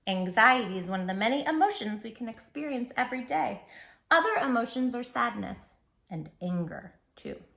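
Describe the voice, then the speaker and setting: A woman's voice: a little high, a bit bright. A woman hosting a children's read-aloud, talking affectionately and expressively straight to the young listener. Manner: affectionate, addressing the child listener directly and intimately. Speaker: children's storytime host and read-aloud reader. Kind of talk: children's storytime talk and picture-book read-aloud.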